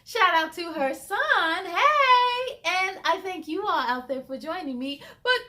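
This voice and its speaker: High-voiced, slow-paced woman story host. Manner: expressive, encouraging, deliberate. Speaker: children's story time host. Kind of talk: read-aloud with encouraging commentary to children.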